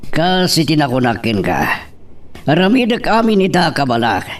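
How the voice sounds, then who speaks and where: A man with an Ilocano accent acting a scheming politician father in an audio drama, deliberate and full of pauses. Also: fairly high pitch, wildly swooping pitch. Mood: scheming, grave. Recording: slightly distant microphone.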